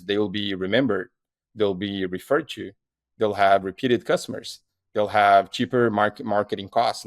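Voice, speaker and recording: A man's voice: lowish voice, no room sound. A man with a Brazilian accent, a guest founder talking in a casual podcast interview, rambling with lots of fillers and restarts.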